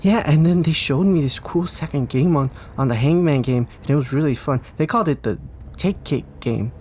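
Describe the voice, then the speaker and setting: Man voicing a small earnest child, pitched up. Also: normal speed, darkish tone, slight background noise, natural voice. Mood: excited. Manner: hesitant, stammering and repeating words. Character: small earnest kind-hearted child, shy and worried. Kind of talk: audio drama character dialogue.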